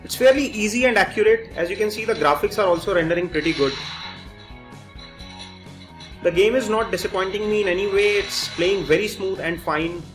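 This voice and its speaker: A man with a fairly high voice delivers a product review. He explains each point methodically as he demonstrates. Soft guitar music plays behind him. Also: quick pace, few pauses, low-quality recording. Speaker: tech reviewer, host. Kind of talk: phone review commentary over demonstration.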